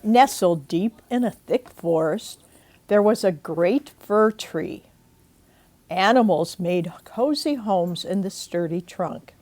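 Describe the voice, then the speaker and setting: An older woman reads a children's storybook slowly and deliberately. Her pitch moves in lively swings, and she turns to her young listeners with asides and questions as a grandmother would. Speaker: grandmother reading a picture book aloud. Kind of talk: expressive children's storybook read-aloud. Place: indoors at home.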